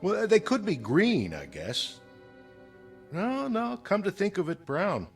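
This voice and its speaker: An American actor playing an easygoing, puzzled office colleague in a naturalistic drama, casual, hesitant and given to banter. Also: male voice, high voice, huge pitch swings, slow, gravelly, faint music behind, full character voice.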